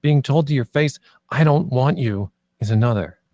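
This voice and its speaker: A wary young leader of orphaned friends , read by a man. The voice is mellow and middle-pitched, with a questioning, conversational delivery suited to a film script read-through. The sound is close and dry. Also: normal speed, no echo, lightly acted. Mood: worried, challenging. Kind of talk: table read of a screenplay.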